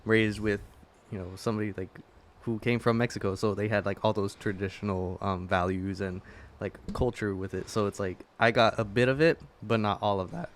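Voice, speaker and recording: A man's voice: fairly low pitch, steady tone, a little fast, hardly any pauses. A young American man chatting casually with friends as a podcast co-host. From United States. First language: English.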